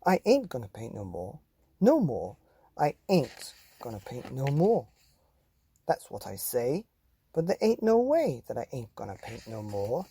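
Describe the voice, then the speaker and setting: A man's voice: medium pitch, huge pitch swings, gravelly, dark tone, husky, heavily acted. A man voicing a mischievous, gleeful child in a playful sing-song storybook rhyme. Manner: playful, exaggerated, drawn-out rhymes. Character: mischievous child gleefully painting own body, chanting rhymes. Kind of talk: sing-song rhyming picture-book reading.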